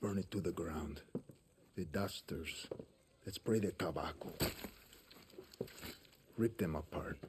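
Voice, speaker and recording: A gruff old guerrilla boss. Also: male voice, deep voice, takes it slowly, pauses often, heavy background noise. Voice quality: gruff, curt and profane. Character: gruff older male guerrilla leader, distrustful, blunt. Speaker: guerrilla leader.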